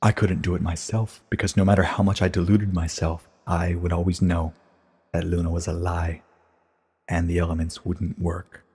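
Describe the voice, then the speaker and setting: An ancient pony princess, acted by a man, low and very husky. She speaks with solemn, weary regret in a measured storybook confession, soaked in long reverb. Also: level tone, darkish tone. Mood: grave confession. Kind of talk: dramatic storybook reading.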